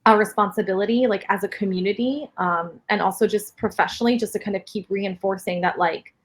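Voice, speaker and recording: A husky, slightly breathy woman with a New York accent explains things patiently and steadily, filling her talk with "like." She speaks as a speech therapist guest in an interview, at a middling pitch. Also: brisk. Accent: New York area. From New York City. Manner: explanatory, steady, many fillers of "like". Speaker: speech-language pathologist guest. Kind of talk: interview answers.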